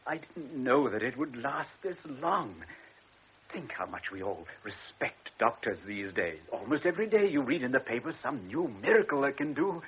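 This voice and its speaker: A man's voice: medium pitch, slightly rough. An actor playing an older wealthy baron, a doting father who speaks hesitantly and apologetically, in a classic radio drama. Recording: dull old radio recording with faint hiss.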